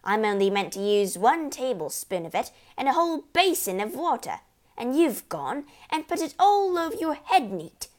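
A woman's voice: high voice, big pitch swings, normal speed, voice pushed up. A woman voicing a scornful, scolding storybook mother, exasperated and apt to shriek at her husband.

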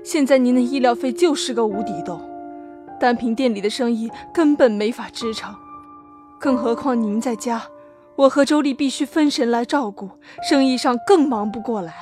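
A woman's voice: high voice, expressive, a little fast, faint music behind. A woman voicing an impatient, desperate daughter-in-law of thirty-eight in an audio drama, urgent and argumentative. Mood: weary, reasoning. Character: desperate, impatient 38-year-old daughter-in-law, sharp-tempered. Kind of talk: acted audio drama dialogue.

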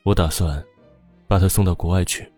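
A cold, commanding family man for an audiobook drama, acted by a man. His voice sits very low with a husky edge, and he speaks curtly and severely, close and dry over faint music. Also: fairly even intonation, normal speed, warm tone, no room sound. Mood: cold, suspicious. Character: stern, cold husband and father, suspicious and commanding. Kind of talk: audiobook character acting.

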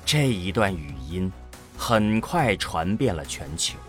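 A man's voice: low voice, very animated, slow pace, a bit bright, faint music behind. A man delivering scripted narration for an audio drama as its storyteller.

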